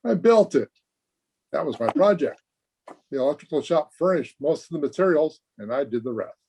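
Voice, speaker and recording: An actor playing an earnest, guileless teenage high school boy, chatting eagerly in an old-time radio comedy. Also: animated, moderate pace, dark tone, breathy edge. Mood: proud, guileless. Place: remote recording over video call.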